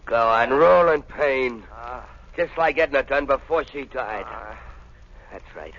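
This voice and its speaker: A man's voice: medium pitch, expressive, slow, a bit bright. An actor playing a cornered, gloating gangster killer in 1940s radio drama style, with snarling, slangy tough-guy talk. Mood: crazed. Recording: dull, noisy old radio recording.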